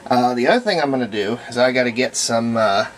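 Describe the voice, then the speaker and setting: A hobbyist restorer giving a casual hands-on workshop demonstration, thinking aloud in a halting way as they work. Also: medium pitch, lively intonation. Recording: noisy room recording with ambient sound.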